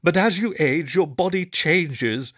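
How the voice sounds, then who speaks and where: A man's voice: fairly high pitch, expressive, a little slow, fairly bright tone, no room sound, clean studio sound, pitched above the performer's own voice. A man voicing an ailing old male wolf teacher who murmurs wearily and then growls stern commands.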